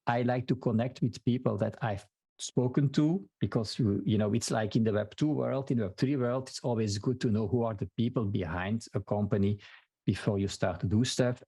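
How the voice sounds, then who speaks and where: A man from Belgium with a Flemish accent and Dutch as his first language, a podcast guest giving steady, explanatory answers with frequent restarts. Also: mid-range voice, husky, dry recording. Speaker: podcast guest, marketing strategist. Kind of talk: interview answers.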